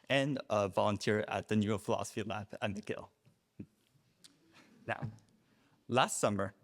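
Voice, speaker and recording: Young korean-born guy, student, reading his reception speech, earnest and formal, kinda low voice. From South Korea. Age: young adult. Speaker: student speaker. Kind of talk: prepared speech at an awards reception. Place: reception room at a faculty club.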